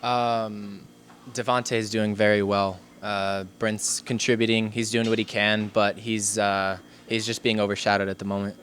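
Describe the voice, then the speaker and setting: A man answering casually in a deadpan, tongue-in-cheek, hesitant manner. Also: fairly low pitch, moderate pace. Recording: faint background room noise.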